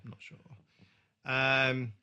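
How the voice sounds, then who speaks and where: A man hosting a podcast, casually discussing film in a tentative, halting way and often posing questions. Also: medium pitch, flat delivery, pushed and bright, smooth, highs cut off. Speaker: podcast host.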